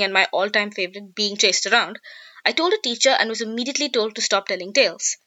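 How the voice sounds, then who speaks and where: A woman's voice: on the high side, bright, forceful tone. Wry woman reading a political essay. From India. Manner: articulate, sharply political, wry. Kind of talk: scripted personal essay narration. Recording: dull lo-fi recording.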